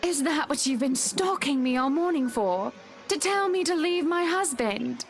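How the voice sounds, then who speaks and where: A woman's voice: high voice, animated, normal speed, a little hiss or hum. A woman playing a proud, wary young wife in a visual novel, cool and commanding in her scripted delivery. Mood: cool, indignant.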